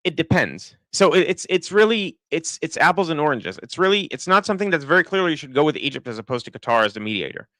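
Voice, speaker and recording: Israeli guy who sounds american, crisp clear voice, rambling live commentary w lots of ums. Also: a little high, sing-song, quick pace, hardly any pauses, a bit bright, no echo. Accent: American-sounding English. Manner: thinking aloud, many restarts and fillers. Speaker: political commentator. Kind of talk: live-stream commentary reading chat.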